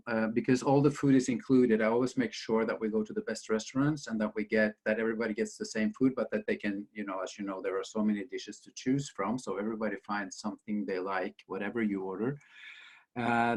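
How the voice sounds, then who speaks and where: A man's voice: on the low side, steady tone, fairly quick, a little mellow, poor audio. A man from Norway speaking as a guest author, telling stories conversationally and often quoting people as he goes. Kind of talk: conversational storytelling at a book talk.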